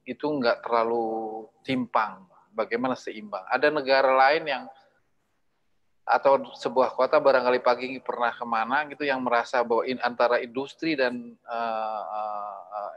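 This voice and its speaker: Male host, mid-range voice, conversational and halting, slightly dull recording. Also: medium pitch, no echo. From Indonesia. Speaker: host, moderator. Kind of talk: casual discussion moderating.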